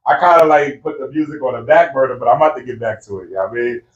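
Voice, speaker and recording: A man's voice: medium pitch, quick pace. A man, a rapper being interviewed, talking in a laid-back, slangy way full of fillers, among friends in an indoor room. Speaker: rapper guest. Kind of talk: casual interview chat. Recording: roomy, distant, dull lo-fi sound.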